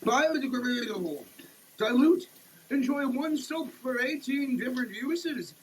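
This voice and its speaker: A man giving a comedic dramatic reading of a product label, theatrical and over-emphatic, with halting delivery. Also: very high-pitched, animated, a little hiss or hum, rough recording. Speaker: comedic performer.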